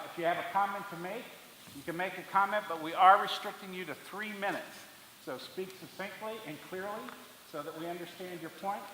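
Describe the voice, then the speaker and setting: A man leads a public meeting with the measured cadence of a trained preacher. His voice is bright and forceful and sits a little higher than most men's. It rings through a reverberant hall with a faint hiss underneath. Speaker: meeting facilitator.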